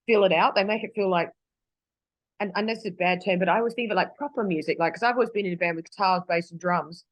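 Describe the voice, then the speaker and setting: A woman's voice: fairly low pitch, dry recording, poor audio. An Australian woman singer chatting in an interview, rambling with lots of restarts and fillers. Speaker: singer guest. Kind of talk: casual interview chat. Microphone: video call.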